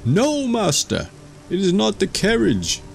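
A man's voice: high-pitched, wildly swooping pitch, a little slow, gravelly, slight background noise, pitched above the performer's own voice, heavily acted. A man voicing a devoted, emotional male servant in a fairy tale, earnest and old-fashioned in phrasing.

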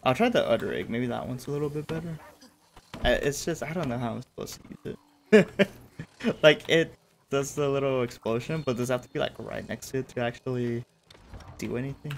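A man's voice: medium pitch, brisk, creaky, slight background noise. A man in his thirties from California, chatting casually while streaming a game, laughing often. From California, USA. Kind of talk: casual gameplay commentary. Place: home room. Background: faint game music.

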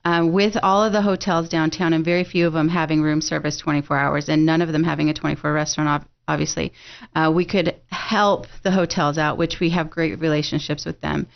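A woman's voice: sits low, fairly even intonation, brisk, gravelly, highs cut off. A woman from San Diego, a restaurant owner, talking upbeat and at length as a guest on a radio show. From San Diego, California. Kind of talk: casual radio interview.

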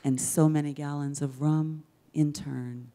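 A middle-aged woman reads poetry aloud into a stage microphone. Her voice is low and deeply mellow, and she takes her time, unhurried and measured. The delivery is dramatic spoken word, with rhetorical weight and deliberate pauses. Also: steady tone. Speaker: poet reading at an event. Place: indoors on a dark theater stage. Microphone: handheld-style microphone on a stand, close.

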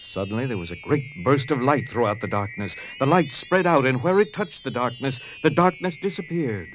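A man's voice: mid-range voice, lively intonation, normal speed, a little hiss or hum, close to an everyday voice. A man playing the suspenseful narrator of an audio drama, reading scripted storybook narration. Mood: dramatic. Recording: faint music under narration.

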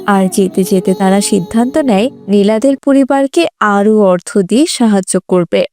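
A woman's voice: medium pitch, normal speed, dark tone, faint music behind. A woman narrating a cartoon moral story in a scripted storybook style.

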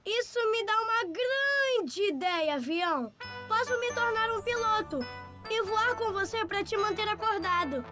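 A woman's voice: very high voice, expressive, a little slow, over loud music. A woman voicing a cheerful, caring little boy with a light, childlike voice and a Brazilian accent, in a preschool cartoon. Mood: eager.

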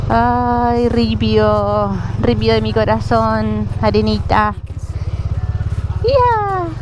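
A woman with a Rioplatense Spanish accent, chatting and laughing playfully as she narrates her ride on gravel roads. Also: on the high side. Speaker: motorcycle travel vlogger. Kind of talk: casual narration while riding. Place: outdoors on a moving motorcycle on gravel roads. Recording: loud wind and engine noise. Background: motorcycle engine and wind.

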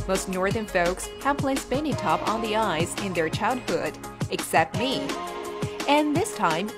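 A young woman narrating a travel vlog in a cheerful, playful scripted style. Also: medium pitch.

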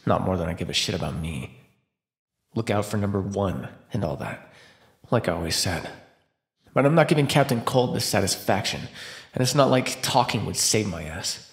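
A man acting a starving captive vampire, wildly expressive. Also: on the low side. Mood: bleak, confessional. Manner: sardonic, teasing, breathy pauses and sighs. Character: starving captive male vampire, sardonic, flirtatious, desperate. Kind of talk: immersive ASMR roleplay monologue. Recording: roomy, echoing sound.

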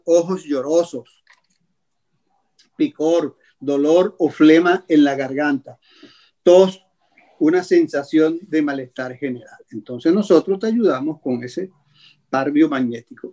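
An older man hosting a health show over a video call from a small room at home, speaking in a measured way and listing things slowly with pauses. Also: fairly high pitch, a little mellow. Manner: measured, lists items slowly with many pauses. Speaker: host. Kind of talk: radio-style health show presenting. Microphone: video call webcam.

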